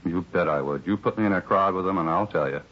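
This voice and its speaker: A man acting a weary, put-upon bar employee in a 1950s radio drama, rambling along plainly in an American accent with a husky voice. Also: deep voice, fast. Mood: shaken, earnest. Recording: muffled 1950s radio recording.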